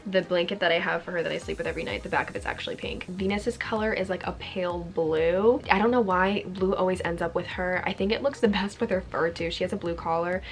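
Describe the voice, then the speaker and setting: A woman's voice: fairly low pitch, fast talker, hardly any pauses, faint music behind. A young woman chatting casually in a vlog, answering fan questions with lots of "like" fillers and the odd swear word.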